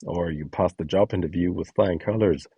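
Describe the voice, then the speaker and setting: A man's voice: deep voice, normal speed, slightly rough, dry recording, lo-fi sound. A man reading scripted instructions and short scene prompts as the narrator of a guide.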